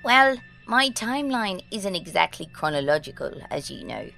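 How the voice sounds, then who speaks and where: A prim, precocious girl who has been nine for centuries, acted by a woman in an audio drama. She speaks properly and formally, with a noticeable creak in the voice. Soft music plays underneath. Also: fairly low pitch, sing-song, normal speed, heavily acted. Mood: prim, composed. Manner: proper, old-fashioned formal phrasing. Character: ancient girl in a nine-year-old's body, prim, precocious.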